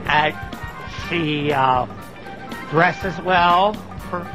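A man speaking in a video personal ad, halting and hesitant, with long pauses between words. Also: a little high, music in the background. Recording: dull lo-fi archive video clip.

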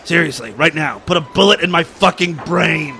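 A man voicing a grumpy, foul-mouthed old factory worker with a gruff, weary manner. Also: on the high side, normal speed, pushed and bright, a little hiss or hum. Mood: bitter. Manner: gruff, weary muttering and barking.

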